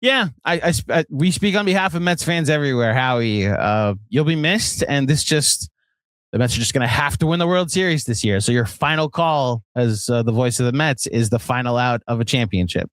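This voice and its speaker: A man's voice: medium pitch, animated, fairly quick, a bit bright, no room sound. An American man co-hosting a sports podcast, telling stories in a rambling, conversational way. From Virginia, United States. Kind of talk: casual sports chat.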